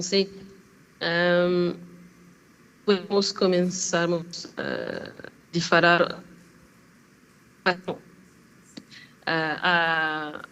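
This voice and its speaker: A woman's voice: fairly low pitch, fairly bright tone, muffled recording, slight background noise. A woman from France speaking Brazilian Portuguese with a French accent, giving a hesitant panel presentation over a remote call, full of fillers and restarts. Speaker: panel speaker, design school head. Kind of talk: halting presentation in a second language. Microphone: remote video call.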